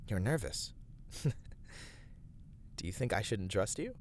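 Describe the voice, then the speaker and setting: A man playing a steady, righteous fantasy adventurer in an ASMR roleplay, speaking to a companion in measured, slightly formal, encouraging words. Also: lowish voice, slight background noise. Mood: calm, trusting. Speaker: voice actor in a roleplay. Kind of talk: scripted ASMR fantasy roleplay addressed to the listener.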